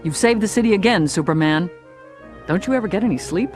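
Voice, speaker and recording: A woman playing an admiring, brisk interviewer in a video game. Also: medium pitch, animated, a little mellow, faint music behind, lightly acted. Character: eager, admiring female interviewer of a superhero.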